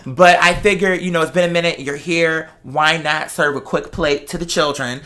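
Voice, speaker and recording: An American man hosting a show, chatting casually in an animated, emphatic way and repeating points to drive them home. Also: a little high, normal speed. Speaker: show host. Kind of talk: casual conversational talk.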